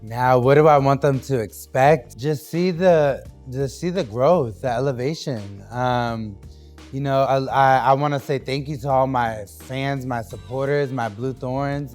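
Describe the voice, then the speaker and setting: A man's voice: mid-range voice, measured pace, a little mellow, faint music behind. A young man from New Hampshire talking loosely as a guest on a podcast, with lots of fillers and restarts. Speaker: guest artist. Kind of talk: casual podcast interview.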